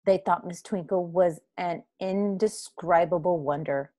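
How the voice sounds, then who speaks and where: A woman with a slightly low voice reads a children's story aloud. Her delivery is expressive and clearly phrased for young listeners. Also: unhurried. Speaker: school counselor reading aloud.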